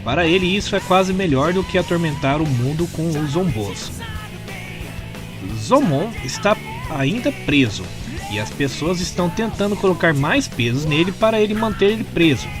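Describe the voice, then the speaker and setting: A man with a Brazilian accent reading scripted story narration in an expressive storytelling manner. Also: a little high, normal speed, over music. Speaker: narrator of comic recaps.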